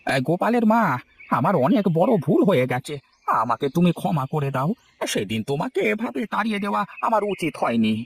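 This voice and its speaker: Male actor as fussy cartoon man, high voice, very quick, exaggerated, repetitive, talkative. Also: sing-song, gravelly, breathy edge, full character voice. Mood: pleading. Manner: exaggerated, repeats words. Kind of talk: children's cartoon voice acting.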